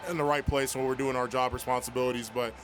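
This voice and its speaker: A man's voice: mid-range voice, flat delivery, fast, fairly bright tone. A man, a football player, giving a casual interview answer. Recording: noisy urban setting.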